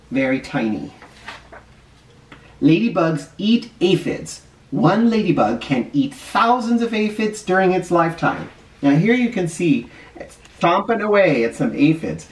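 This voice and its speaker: A middle-aged man teaching young children and reading a picture book aloud, explaining simply and stressing key words. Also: fairly high pitch. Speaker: first grade teacher. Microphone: camera a short distance away. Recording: roomy, distant camera microphone.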